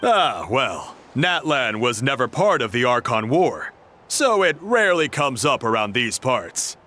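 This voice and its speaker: A man playing an easygoing, exuberant young adventurer in a video game, relaxed and playful. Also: medium pitch, a little slow. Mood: explaining. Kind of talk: animated video game acting. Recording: faint game music behind.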